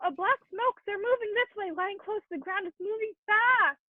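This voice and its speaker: Woman acting anxious army lookout, very high voice, radio play reports, dull lo-fi call audio, dry. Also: normal speed, dry recording. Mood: alarmed. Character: anxious young army artillery observer reporting to superior. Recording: thin, muffled video-call audio.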